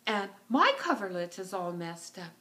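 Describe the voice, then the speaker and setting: Woman playing a fussy, animated middle-sized bear. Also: medium pitch, normal speed. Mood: put out.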